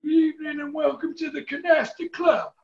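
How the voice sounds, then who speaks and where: A man's voice: very high-pitched, steady tone, moderate pace, highs cut off. A man playing a large, formal nightclub doorman in a 1940s-style radio comedy. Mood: welcoming.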